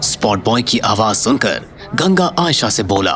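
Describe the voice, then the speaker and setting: Male narrator for audio drama, animated delivery, mid pitch, music underneath. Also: moderate pace. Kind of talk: dramatic scripted story narration.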